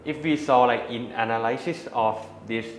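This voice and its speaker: A man's voice: medium pitch, moderate pace, echoey room, a little hiss or hum. A man with a Thai accent, a native Thai speaker co-hosting a casual house tour and searching for English words as he talks. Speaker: co-host of a house tour channel.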